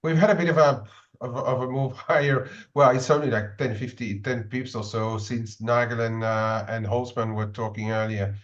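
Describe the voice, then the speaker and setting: A man's voice: medium pitch, fairly even intonation, normal speed. Man on dull lo-fi audio talking trades. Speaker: forex trader. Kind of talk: casual market discussion.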